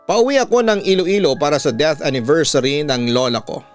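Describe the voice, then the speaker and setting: A man with a Filipino accent reads a listener's horror story letter as a radio storyteller, in a measured way with deliberate pauses. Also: on the high side. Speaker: radio announcer and host reading listener letters. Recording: background music under the voice. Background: instrumental music.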